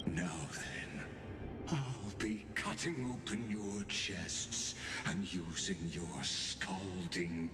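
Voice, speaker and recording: A cold game villain, a conqueror with grim courtesy. His voice is low and extremely slow, every formal threat set out deliberately. It echoes beneath dramatic music. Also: exaggerated voice. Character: cold, menacing conqueror, grimly polite villain. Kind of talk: dramatic video game voice acting. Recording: reverberant voice under game music.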